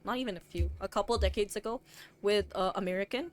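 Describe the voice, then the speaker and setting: A woman's voice: medium pitch, fast talker. A young woman from the Philippines, chatting casually on a stream and rambling with fillers and restarts.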